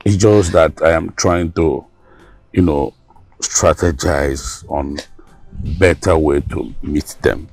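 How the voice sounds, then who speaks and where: A gravelly, deep-voiced Nigerian father. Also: fairly even intonation, darkish tone, no room sound, faint music behind, exaggerated voice. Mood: soft, explaining. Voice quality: gravelly, husky. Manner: halting, repeating phrases. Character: gruff, emotional Nigerian father, quick-tempered but tender. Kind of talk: film drama acting.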